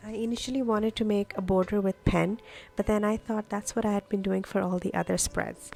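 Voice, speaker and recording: A woman's voice: medium pitch, fairly even intonation, fairly quick, creaky, darkish tone. A woman whose native language is Urdu, giving a casual, explanatory voice-over as a craft vlogger walking through her journal pages. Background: music.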